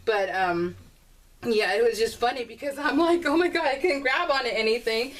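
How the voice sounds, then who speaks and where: A middle-aged woman chatting casually and telling animated, giggly stories while she works on a scrapbooking project. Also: on the high side, normal speed. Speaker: scrapbooking vlogger. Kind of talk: casual storytelling while crafting.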